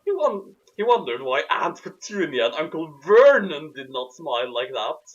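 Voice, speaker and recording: A man's voice: on the high side, big pitch swings, moderate pace, lo-fi sound. A man reading a story aloud in a comic, dramatic way and commenting on it. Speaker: narrator and commentator.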